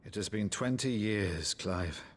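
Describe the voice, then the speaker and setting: An actor playing an older nobleman, a blustering but warm-hearted uncle, in a theatrical, grandiloquent video game performance. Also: lowish voice, unhurried, slight background noise, close to an everyday voice. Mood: grave, weary. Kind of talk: dramatic video game acting.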